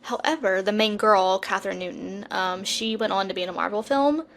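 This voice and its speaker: A woman co-hosting a podcast in casual, chatty conversation, informal and animated, with frequent asides. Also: medium pitch, normal speed. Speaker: podcast co-host. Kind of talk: casual chat about TV shows.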